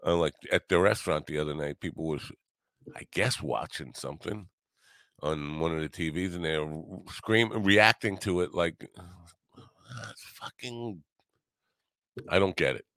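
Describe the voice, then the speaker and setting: A man in his early sixties with a deep voice, recorded dry with no room around it. He hosts a casual solo podcast, rambling from thought to thought in a halting way. He is self-deprecating and drops in the odd curse. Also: fairly bright tone, no echo. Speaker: podcast host.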